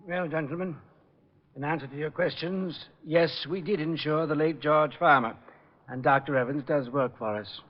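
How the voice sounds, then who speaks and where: An actor playing a proper, cooperative insurance executive in a 1940s radio drama, formal and measured. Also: male voice, on the high side, a little hiss or hum, natural voice. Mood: formal, concerned. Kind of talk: 1940s radio detective acting. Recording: dull vintage radio recording.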